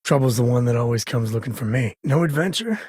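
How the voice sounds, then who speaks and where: An actor playing a rugged, wry frontier space explorer in a video game, with a husky voice and folksy, conversational delivery. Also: male voice, medium pitch, lively intonation, quick pace, a little mellow, dry recording, studio quality. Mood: wry, easygoing. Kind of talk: video game character dialogue.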